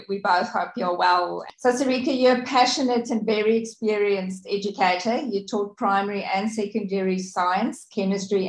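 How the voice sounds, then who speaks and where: A woman's voice: medium pitch, echoey room, rough recording. A woman podcast host whose first language is Afrikaans, chatty and encouraging as she interviews a guest.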